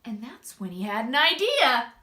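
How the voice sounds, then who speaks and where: A woman doing an expressive children's read-aloud, drawing out words and speaking straight to young listeners. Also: on the high side. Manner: expressive, drawn-out emphasis, addresses young listeners directly. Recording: echoey room.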